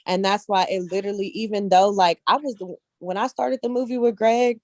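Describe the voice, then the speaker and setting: A woman speaking casually as an interview guest, telling personal stories in an animated, earnest and colloquial way, often checking in with her listeners. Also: mid-range voice, a little fast, no echo, studio quality. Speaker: guest, actress and casting director.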